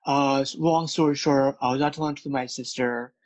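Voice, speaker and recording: A man's voice: mid-range voice, fairly even intonation, moderate pace, dry recording. A man who stutters, with repeated words and many fillers, speaking candidly as a guest about his work as a speech coach. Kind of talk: candid interview conversation.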